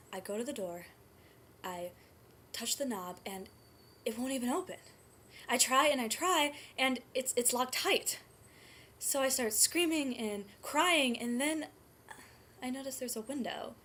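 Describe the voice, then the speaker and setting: A woman performing a dramatic stage monologue as a grief-stricken, guilt-ridden nineteen-year-old mother, speaking haltingly and often in a breathy hush. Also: a little high, close to an everyday voice. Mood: haunted, subdued, then desperate, escalating. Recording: noisy amateur room recording.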